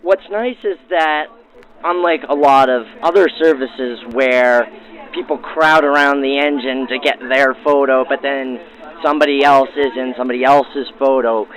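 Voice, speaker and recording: A man's voice: mid-range voice, moderate pace, a bit bright. A man hosting with formal, florid announcer phrasing in the style of 1940s radio, through a vintage radio filter. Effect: backdated 1940s radio filter. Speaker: host, interviewer. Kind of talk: scripted 1940s radio-style narration and interviews. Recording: thin, tinny vintage radio sound.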